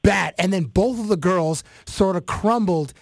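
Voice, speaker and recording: High-voiced Canadian host, dry mic. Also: male voice, measured pace, vocal fry, dry recording. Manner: animated storytelling with many fillers. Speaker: podcast host. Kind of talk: casual interview chat.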